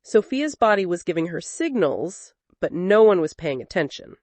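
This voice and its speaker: Expressive woman storyteller, lively dry voice. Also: fairly low pitch, normal speed, no echo. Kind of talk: dramatic scripted narration.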